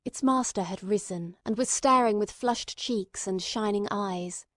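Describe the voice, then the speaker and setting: A woman's voice: medium pitch, measured pace, a bit bright, no echo. Woman narrating a classic novel, dry sound. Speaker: audiobook narrator. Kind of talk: scripted literary narration.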